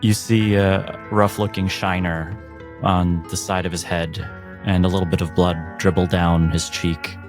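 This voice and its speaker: Very low-pitched, slow male game master. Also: level tone, music in the background. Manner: measured, descriptive. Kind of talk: tabletop game narration.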